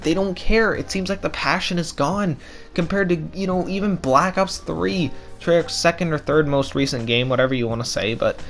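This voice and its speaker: Hoarse young man, scratchy throat, ranting gaming commentary, faint music underneath. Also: on the high side, moderate pace, fairly bright tone. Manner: ranting, frustrated, repetitive. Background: background music.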